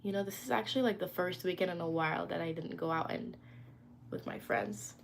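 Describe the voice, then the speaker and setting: A woman's voice: fairly low pitch, a little fast, vocal fry, slight background noise. Chatty young woman livestreaming from her phone. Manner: chatty, reads and answers comments. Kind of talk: casual live stream chat. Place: indoors at home.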